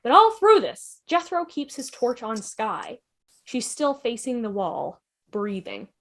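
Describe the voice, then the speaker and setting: A woman's voice: on the high side, big pitch swings, takes it slowly. A woman narrator reading stage directions for a drama script. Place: online video call.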